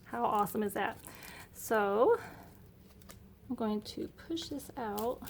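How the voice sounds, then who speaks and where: Female homeschool vlogger, middle-aged, slightly high voice, casual and upbeat; home recording with faint noise. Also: expressive, slow, vocal fry. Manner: chatty, upbeat, enthusiastic. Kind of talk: casual unboxing narration. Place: indoor room at home.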